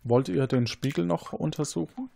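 A man's voice: fairly high pitch, moderate pace, creaky, mellow, a little hiss or hum. A man hosting an interactive crime game, narrating scenes as game master in a partly improvised, playful way.

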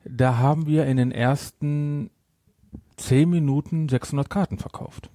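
A man's voice: fairly high pitch, steady tone, measured pace, dark tone, dry recording. A man hosting a podcast in a casual, rambling chat, often interrupting himself. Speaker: podcast host.

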